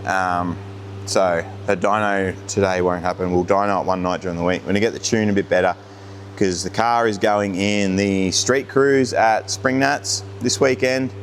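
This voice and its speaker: An Australian man with a fairly low voice chats casually to viewers like a workshop car vlogger. His delivery is unscripted, laid-back and plain-spoken, with colloquial turns of phrase. Clear vehicle noise sits behind him. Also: fairly even intonation, normal speed. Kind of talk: casual unscripted vlog talk. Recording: clear background noise of cars.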